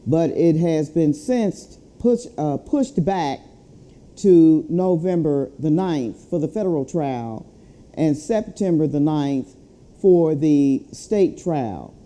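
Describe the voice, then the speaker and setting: A woman speaking as an advocacy leader, giving a formal update and reading a letter aloud in a deliberate manner. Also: low voice, dark tone. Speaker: civil rights chapter president.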